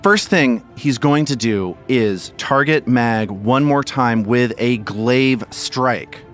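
Male game master, slow and deliberate, dry humor. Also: medium pitch, a bit bright, soft background music. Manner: measured, dramatic narration with dry humor. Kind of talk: narrating a tabletop game.

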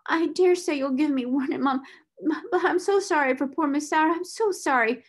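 A woman's voice: high voice, moderate pace, voice pushed up, natural voice. A woman voicing a timid, tearful young scullery maid who stammers and pleads in humble, uneducated servant speech for a storybook. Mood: tearful pleading.

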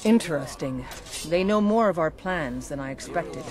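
An actress playing a measured, commanding military officer in a fantasy video game. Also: female voice, low-pitched, expressive, crowd noise. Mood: calm, scheming. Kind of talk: scripted video game dialogue.

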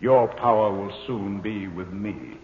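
An actor playing a commanding alien male ruler in a 1950s radio drama, slow and grave. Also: fairly low pitch, expressive. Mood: tender. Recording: tinny old radio broadcast with hall echo.

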